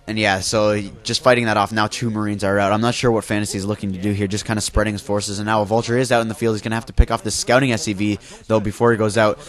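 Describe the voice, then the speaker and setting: This is a young man with a congested, nasal voice, a little on the low side, calling a video game match on his own. He talks loosely, stacking fillers and correcting himself mid-sentence as he tracks the play. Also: level tone, fast talker, hardly any pauses. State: congested from a flu, nasal, coughing. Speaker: esports caster. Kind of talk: solo play-by-play game commentary.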